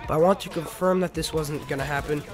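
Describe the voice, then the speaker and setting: A man's voice: a little high, steady tone, fast. Teenage boy gamer with a cold, over music. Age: teenager, about 15. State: slightly sick. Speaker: gaming video host. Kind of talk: casual gameplay commentary.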